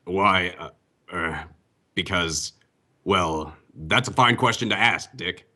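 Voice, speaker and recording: A grave, earnest vigilante hero played by a man in an old-time radio drama. The voice sits very low and has a hard, bright edge. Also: slow, full character voice. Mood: earnest, hesitant.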